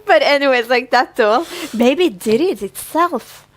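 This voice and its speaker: A woman's voice: sits high, expressive, unhurried. A woman co-hosting a casual fan podcast, telling stories in a giggly, repetitive way. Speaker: podcast co-host.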